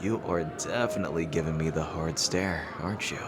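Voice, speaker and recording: A man playing a teasing rival king in an intimate dramatic roleplay, halting and smug yet easily flustered. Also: extremely low pitch, music in the background. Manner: halting, teasing, with soft chuckles and pauses.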